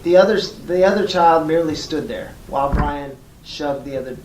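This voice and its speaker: Diplomatic schoolteacher, role-played, careful and halting delivery, mid pitch, recorded at a distance in an echoey room. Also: darkish tone, close to an everyday voice. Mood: careful, firm. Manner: hesitant, measured, diplomatic, frequent pauses. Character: polite, diplomatic schoolteacher phoning a parent. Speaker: teacher. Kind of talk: role-played phone call demonstration. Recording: echoey room, distant mic, faint noise.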